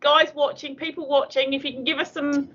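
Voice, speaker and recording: A woman's voice: high voice, flat delivery, a little fast, a bit bright, dull audio. An older woman from South London with a South London accent, chatting casually as a guest with lots of ums and little laughs. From South London, born in Surrey. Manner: chatty, with frequent fillers and laughs. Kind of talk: casual chat.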